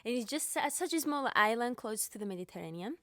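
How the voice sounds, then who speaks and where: A woman's voice: a little high, animated, quick pace, sharp tone, no echo, clean studio sound. A young woman from Cyprus with a Greek Cypriot accent, a pianist being interviewed, talking with many fillers and restarts. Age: young adult. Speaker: pianist guest. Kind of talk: podcast interview.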